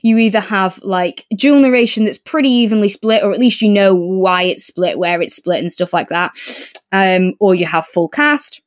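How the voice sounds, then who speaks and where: A woman with a medium-pitched voice chats as a podcast co-host. She talks in a casual review style, qualifying her points and thinking aloud. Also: steady tone, moderate pace. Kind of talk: casual chat, book review discussion.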